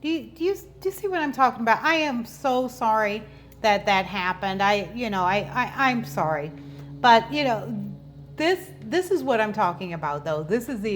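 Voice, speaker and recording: A woman's voice: mid-range voice, a little hiss or hum. A woman in her fifties hosting an informal explainer vlog, talking conversationally and often repeating words as she goes. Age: 50s. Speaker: channel host and business owner.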